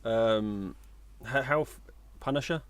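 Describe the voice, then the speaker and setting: A man's voice: mid-range voice, slow. A man co-hosting a podcast in casual, conversational chat.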